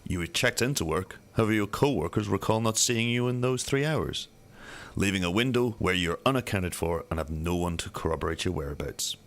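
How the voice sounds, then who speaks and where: Man playing a low-voiced, probing police detective. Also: expressive, a bit bright. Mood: pressing. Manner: measured, formal questioning. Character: methodical male police detective, probing and matter-of-fact. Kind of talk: amateur radio drama acting.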